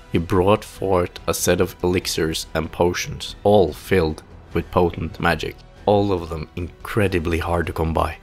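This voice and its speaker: A man with a very low voice narrates a fantasy tale. His delivery is solemn and theatrical, each phrase placed for drama, with music underneath. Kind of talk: dramatic scripted fantasy audio play narration.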